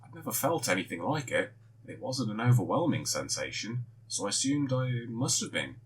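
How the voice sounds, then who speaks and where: A man reading a first-person fan fiction story aloud, with expressive storytelling. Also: on the low side, fairly quick, a little hiss or hum, rough recording.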